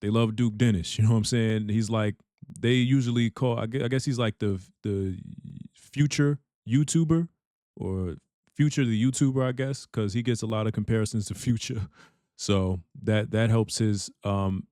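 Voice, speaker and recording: A man with a deep voice hosting a reaction channel, chatting casually and filling his talk with "you know what I'm saying.". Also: fairly even intonation, normal speed, dark tone, no room sound, clean studio sound.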